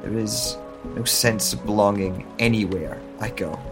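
Low-voiced man narrating hushed over rain. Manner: slow, introspective, hushed. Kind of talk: first-person audio drama narration. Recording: music score and rain sounds. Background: music and rain.

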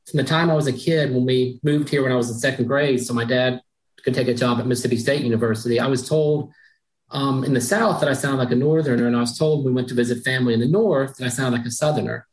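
A woman speaking on a panel, reflective and pausing often with ums. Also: rumbling low, fairly even intonation, low-quality recording. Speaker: author on a panel. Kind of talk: panel discussion.

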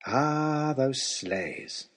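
A man playing an earnest, lovestruck gentleman adventurer who tells his journey in formal, old-fashioned, expressive storytelling. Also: medium pitch, drawn-out words. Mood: enthusiastic. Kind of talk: dramatised first-person storytelling, as a letter to a lady.

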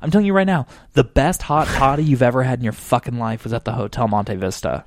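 A man's voice: medium pitch, fast, no echo, clean studio sound. A man in his late twenties co-hosting a casual podcast over drinks, rambling off on tangents and swearing freely. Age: 20s. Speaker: podcast co-host.

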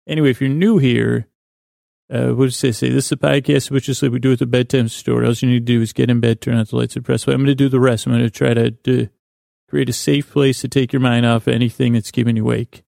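A man hosting a bedtime podcast in a meandering, halting monologue, whimsical and self-deprecating, often trailing off mid-thought. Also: mid-range voice, flat delivery, creaky, mellow, dry recording, studio quality. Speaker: podcast host. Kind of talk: rambling bedtime monologue for listeners to fall asleep to.

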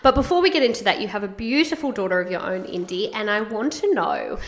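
Woman podcast host, slightly croaky voice, chatty and quick to laugh. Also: mid-range voice, animated. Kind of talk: casual podcast chat.

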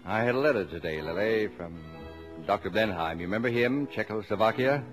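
A low male voice of an actor as a proud, middle-aged family man in an old radio play. He speaks formally and with dry understatement, with fondness under the reserve and faint music around him. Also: lively intonation, natural voice. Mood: earnest, hopeful. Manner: measured, formal, dryly understated. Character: dry, proud, fond father of twelve children. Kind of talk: 1950s radio drama acting.

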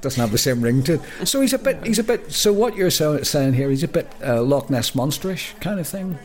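A man's voice: fairly high pitch, very animated, fairly quick, a little mellow. A man co-hosting a podcast, chatting casually and jokily, often stumbling and restarting his sentences. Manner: chatty and jokey, with frequent stammered restarts. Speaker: podcast co-host. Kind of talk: casual chat.

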